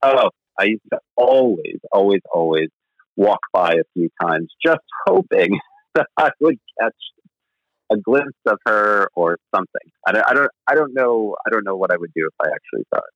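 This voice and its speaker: A man's voice: on the low side, animated, moderate pace, no room sound. A man who is a novelist, talking in a casual interview in a rambling, hesitant, self-deprecating way. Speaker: novelist guest. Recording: slightly muffled dry sound.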